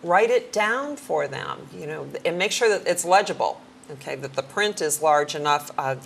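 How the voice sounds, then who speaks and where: A middle-aged woman, a hearing care professional, giving friendly practical advice in a conversational talk show, sometimes slowing down to demonstrate clear speech. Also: low voice, animated, a little hiss or hum.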